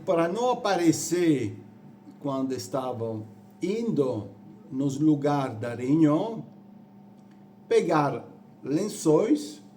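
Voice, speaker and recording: A man from Rome with an Italian accent, preaching in halting, deliberate phrases with repetitions. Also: fairly high pitch, dark tone, slight background noise. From Rome, Italy. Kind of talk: sermon on church history.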